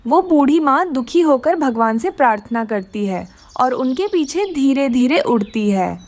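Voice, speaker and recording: A woman's voice: high-pitched, expressive, a little slow, darkish tone. A woman narrating a scripted children's story.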